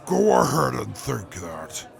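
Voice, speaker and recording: A man playing a gravelly, pompous would-be city builder. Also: mid-range voice, very animated, slow, exaggerated voice. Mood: haughty. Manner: haughty, archaic indignant phrasing. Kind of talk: audio drama acting.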